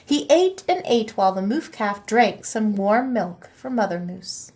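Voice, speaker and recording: A woman teacher reading a children's picture book aloud in an expressive, animated storytelling manner. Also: mid-range voice, unhurried, a little hiss or hum.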